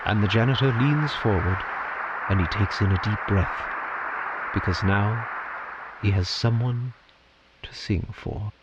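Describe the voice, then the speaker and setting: A man narrating a scripted story theatrically, with dramatic, deliberate phrasing and pauses. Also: fairly low pitch, muffled recording, very noisy.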